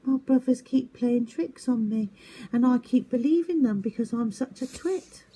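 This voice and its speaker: A woman voicing a gullible, earnest young male owl in a storybook, excitable and timid. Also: a little high, normal speed, husky, voice pushed up, low-quality recording. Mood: sheepish, pleading.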